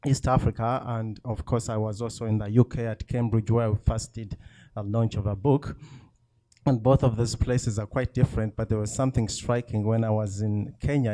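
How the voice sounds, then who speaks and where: A man's voice: medium pitch, warm tone. A man from Uganda, an author talking casually to a live audience, chatty and self-deprecating with many fillers and frequent laughs. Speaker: author speaking at a book event.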